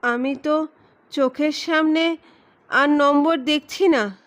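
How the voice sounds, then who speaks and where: A woman's voice: high voice, slow pace. A woman voicing an innocent little boy who answers simply and artlessly in an audio story. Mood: innocent, earnest.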